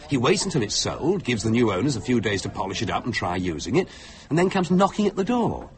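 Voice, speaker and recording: A male actor as a paternal, cynical British husband in a radio play. His speech swings widely in pitch as he cajoles and insists, always sure he knows better. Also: big pitch swings, fast talker, close to an everyday voice. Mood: scornful, sceptical. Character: fussy, paternal, coaxing husband, sceptical and cynical. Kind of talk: British radio drama acting.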